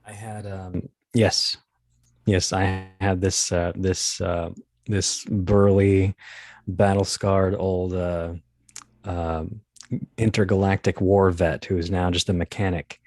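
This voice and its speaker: A man chatting casually as an interview guest, telling anecdotes in a halting, thinking-aloud way. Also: sits low, flat delivery, a little mellow, no echo, studio quality. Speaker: guest, audio drama casting director.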